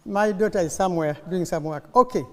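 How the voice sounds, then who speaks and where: A mature Ugandan woman with a Ugandan accent and Luganda as her first language, giving an informal conference speech as an animated storyteller who prompts her listeners with questions. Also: fairly low pitch, a little fast, noisy background. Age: middle-aged or older. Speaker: conference speaker. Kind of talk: informal conference speech with audience call-and-response. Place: conference hall, live talk to an audience. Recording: echoey hall, long reverb.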